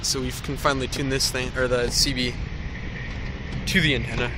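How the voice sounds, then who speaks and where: Man, casual vlog chat, medium pitch, noticeable car and outdoor background noise. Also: flat delivery, normal speed. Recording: noisy handheld outdoor and car recording.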